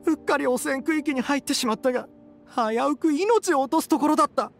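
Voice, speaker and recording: A woman's voice: high voice, a little fast, soft background music. A woman voicing a frightened, suspicious young male villager who stammers with agitation in an anime game. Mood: accusing, panicked. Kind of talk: Japanese anime game voice acting.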